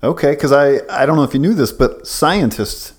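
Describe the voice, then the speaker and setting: A man's voice: medium pitch, animated, normal speed, breathy edge. A man co-hosting a casual podcast, wry and teasing, asking probing questions. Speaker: podcast co-host. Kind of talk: casual chat.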